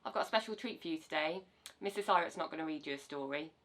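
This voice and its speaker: A woman's voice: low voice, fast, a bit bright. A woman informally introducing a story reader to an audience of children.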